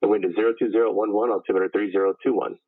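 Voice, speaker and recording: A man's voice: on the low side, fairly even intonation, racing. A man working as a tower controller, giving routine procedural air traffic instructions over the radio. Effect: radio transmission. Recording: tinny, phone-like radio channel.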